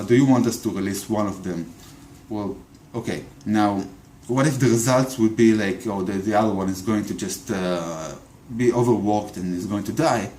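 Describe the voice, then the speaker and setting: A man's voice: on the low side, darkish tone, a little hiss or hum. Hesitant Israeli man in an echoey room. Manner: hesitant, many repeated words and fillers. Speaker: video commentator. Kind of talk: unscripted political monologue.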